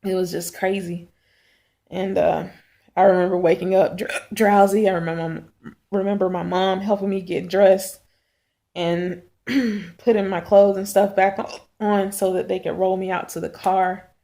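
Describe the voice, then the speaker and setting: Hoarse, gravelly-voiced woman vlogging. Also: mid-range voice, level tone, warm tone. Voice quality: raspy. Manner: halting, frequent throat clearing. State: hoarse, sore throat. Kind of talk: casual video diary. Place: at home.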